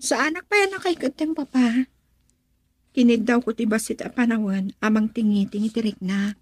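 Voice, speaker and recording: Radio drama sweetheart, played by a woman: high-voiced, hesitant young woman with an Ilocano accent, close dry sound. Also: moderate pace, no echo, natural voice. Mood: earnest, careful. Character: hesitant young woman in love, dutiful daughter.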